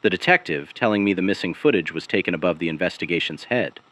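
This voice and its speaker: A man playing a weary, haunted investigative broadcaster, narrating a scripted audio drama gravely and deliberately, in clipped phrases with dramatic pauses. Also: deep voice, lively intonation, a bit bright. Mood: weary, resolute. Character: weary, haunted male investigative broadcaster piecing together a conspiracy. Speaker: broadcast host and narrator of a fictional missing person investigation series.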